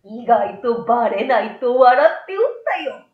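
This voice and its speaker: A woman voicing a small, curious, easygoing old yokai in a storybook, with a folksy old-man way of talking and drawn-out word endings. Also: fairly high pitch, sing-song, reverberant, narrow, tinny audio. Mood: matter-of-fact. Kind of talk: storybook read-aloud.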